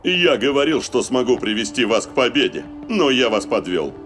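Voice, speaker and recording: A man's voice: medium pitch, sing-song, moderate pace, fairly bright tone, faint music behind. A man playing an earnest, dramatic young football team captain in a cartoon. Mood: downcast. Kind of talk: dubbed cartoon acting.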